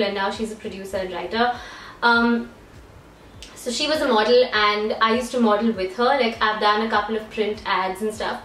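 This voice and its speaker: A woman's voice: on the high side, a bit bright, distant mic, slight background noise. A young woman from India chatting casually to camera in a room at home, rambling and self-deprecating. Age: young adult. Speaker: beauty YouTuber. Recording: roomy echo, voice a bit off-mic.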